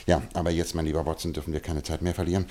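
An actor playing a brisk, shrewd, commanding detective in a radio play, speaking precisely and with authority. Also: male voice, a bass voice, level tone, dark tone. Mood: brisk, decisive. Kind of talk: German radio play acting.

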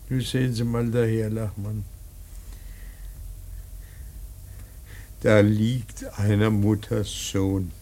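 A man playing a grieving, bitter old painter in a radio drama, speaking in a halting, emphatic, theatrical monologue with a rough, worn voice. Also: lowish voice, little pitch movement, warm tone, close to an everyday voice. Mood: solemn grief. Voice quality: husky, gravelly. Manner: halting, emphatic, swelling from murmurs to outcries. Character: grieving old painter mourning his dead son, bitter, rambling.

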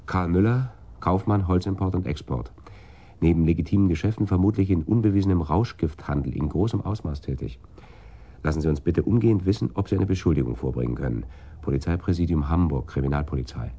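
An actor playing a brusque, cynical police detective in a German radio crime drama, with a husky voice and terse delivery. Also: male voice, low-pitched, fairly quick, dark tone. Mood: brisk, cynical.

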